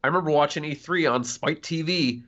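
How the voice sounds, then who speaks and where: A man's voice: on the high side, a little fast, pushed and bright, dull audio. A man co-hosting a podcast, chatting casually with a blunt, sweary manner. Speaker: podcast co-host.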